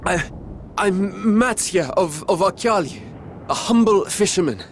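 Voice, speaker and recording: A man's voice: high voice, animated, slight background noise. An actor playing a humble, nervous fisherman who stammers as he brings grave news in a game cutscene. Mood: shaken. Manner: stammering, halting. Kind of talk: video game cutscene acting. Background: orchestral music.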